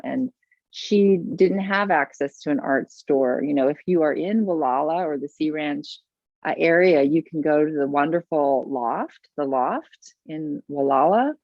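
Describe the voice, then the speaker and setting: A woman's voice: low-pitched, vocal fry, no echo, lo-fi sound. A woman teaching art over a video call, effusive and full of praise, often restarting her sentences. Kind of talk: warm, gushing teaching chat.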